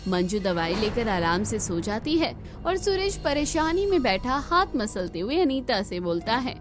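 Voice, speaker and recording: A woman's voice: fairly high pitch, very animated, a little slow, music in the background. A woman narrator reading a scripted moral story for a cartoon.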